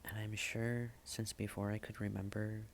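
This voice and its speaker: A man performing spoken-word slam poetry in a halting manner, with dramatic pauses, repeated phrases and hushed endings. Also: lowish voice, little pitch movement, creaky, a little hiss or hum. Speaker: slam poet.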